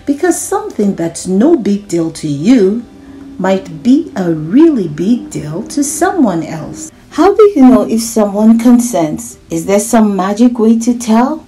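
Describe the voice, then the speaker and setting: A woman hosting a children's read-aloud, animated and encouraging, speaking directly to her young listeners with little check-in questions. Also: medium pitch, measured pace, rough recording.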